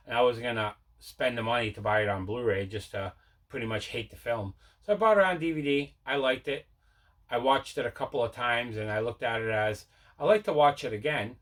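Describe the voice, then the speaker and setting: A man's voice: mid-range voice, a bit bright. A man hosting a casual unboxing video, rambling and repeating himself as he shows off his collection. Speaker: video host showing mail and movie collection.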